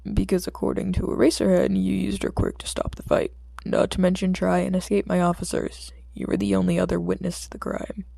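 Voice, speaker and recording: A woman's voice: on the low side, flat delivery, a little fast, vocal fry, dark tone, no echo, studio quality. A woman voicing a weary, stern male police detective in an audiobook, exasperated and firm. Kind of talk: audiobook character dialogue.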